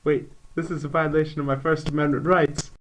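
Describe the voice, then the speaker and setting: A man's voice: a little high, level tone, fairly quick, close to an everyday voice. Young man as an indignant plaintiff, noisy recording. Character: indignant, formal male plaintiff in court. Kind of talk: scripted amateur puppet show acting. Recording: noisy amateur recording with room ambience.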